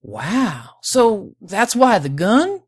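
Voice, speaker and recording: A woman voicing a thin, rough male space drifter in an audiobook, polite and folksy, with a put-on lilting accent. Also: deep voice, lively intonation, normal speed, no room sound, studio quality. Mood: surprised, curious. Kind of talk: audiobook character voice.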